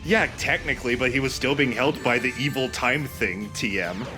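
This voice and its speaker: A man's voice: mid-range voice, normal speed. A man giving casual game commentary with a wry, mock-dramatic sense of humour. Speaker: let's play commentator. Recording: game music behind the voice. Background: loud video game music.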